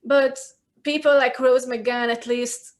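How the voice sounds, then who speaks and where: A woman speaks as a guest in a casual conversation, her voice sitting somewhat high. She is articulate and emphatic and works through her points aloud. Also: fairly even intonation, a little slow. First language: Hungarian.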